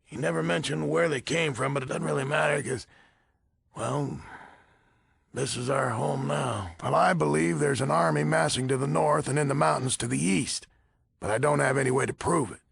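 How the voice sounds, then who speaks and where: An actor playing a gruff, blunt, folksy old military leader in a video game, with a gravelly, husky voice. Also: male voice, on the high side, moderate pace, a bit bright, no room sound, full character voice. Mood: gruff, matter-of-fact. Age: older. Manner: blunt, folksy, swearing, easily exasperated. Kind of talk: video game character dialogue.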